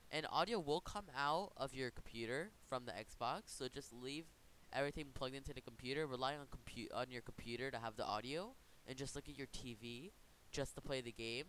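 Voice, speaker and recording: A man hosting an informal software tutorial, talking in a casual, rambling way and correcting himself as he goes. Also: mid-range voice, brisk, rough recording. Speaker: tutorial host. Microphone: computer microphone. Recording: noticeable background hiss.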